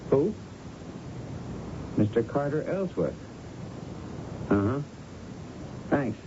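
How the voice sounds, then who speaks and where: An actor playing a grave, persistent police lieutenant in a radio drama, speaking in a deliberate, formal, probing way. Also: male voice, medium pitch, big pitch swings. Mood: businesslike. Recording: dull, noisy old radio recording.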